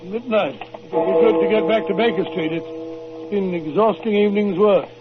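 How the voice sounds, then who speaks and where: An actor playing an earnest, puzzled British gentleman sidekick in a 1940s radio drama, blustery and exclamatory. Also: high voice, moderate pace, over loud music, lightly acted. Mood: curious. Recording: muffled old 1940s radio recording.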